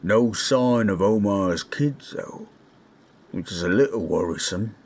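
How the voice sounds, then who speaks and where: A low-voiced, husky orc played by a man speaks at an unhurried pace. He is gruff but kindly, a little awkward and shy, with halting, folksy phrasing suited to an intimate fantasy audio drama. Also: lively intonation. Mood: soft, reassuring. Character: gruff, kindly, bashful male orc companion.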